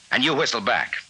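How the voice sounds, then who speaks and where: A man's voice: mid-range voice, sharp tone, slightly rough, full character voice, low-quality recording. An actor playing a shrewd, knowing trading post man in 1950s radio drama style, clipped and confiding. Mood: businesslike.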